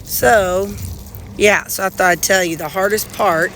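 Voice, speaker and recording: A woman's voice: medium pitch, fairly even intonation, unhurried, noisy background. A middle-aged woman with a slightly nasal voice, chatting casually and rambling to her phone camera outdoors while pulling weeds. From Missouri, United States. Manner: rambling, chatty, folksy with frequent restarts. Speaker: vlogger. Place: outdoors beside a gravel bed and sidewalk. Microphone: phone held in hand.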